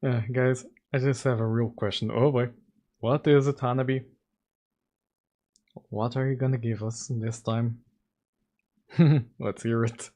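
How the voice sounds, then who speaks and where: A man streaming live, chatting with his audience in an easy, unhurried way at an ordinary middle pitch. He laughs often and teases his viewers as he talks into a desk microphone. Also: steady tone, mellow. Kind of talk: casual live-stream chat.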